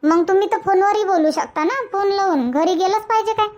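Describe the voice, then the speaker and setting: A woman voicing a dutiful, gently nagging young village wife in a cartoon comedy, with a colloquial rural Varhadi Marathi accent. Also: extremely high pitch, a little fast, muffled recording. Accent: rural Varhadi Marathi from Vidarbha. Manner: colloquial, persistent, questioning.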